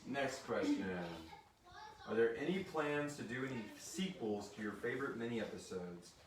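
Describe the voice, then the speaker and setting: A man's voice: medium pitch, moderate pace, noisy background. Easygoing man hosting a casual group chat. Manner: informal, rambling, sweary, easily amused. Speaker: host of a live question-and-answer special for an audio drama. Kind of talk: casual chat, reading out listener questions. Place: live stream with a group of castmates in the room.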